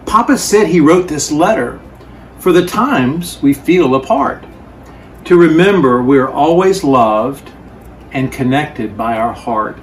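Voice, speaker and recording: Man voicing a gentle loving father bear. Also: a little high, animated. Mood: tender. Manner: tender, soothing, slow. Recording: distant mic, faint background noise.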